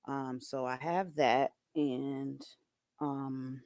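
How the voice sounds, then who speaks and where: A soft-voiced man talking casually to camera, hesitant, with many ums and restarts. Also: medium pitch, fairly even intonation, extremely slow, dry recording, clean studio sound. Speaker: video creator.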